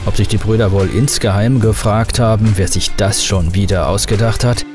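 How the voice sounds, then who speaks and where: A man's voice: on the low side, fairly even intonation, normal speed, over music. A man reading a scripted documentary voice-over with a light, wry touch. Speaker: narrator.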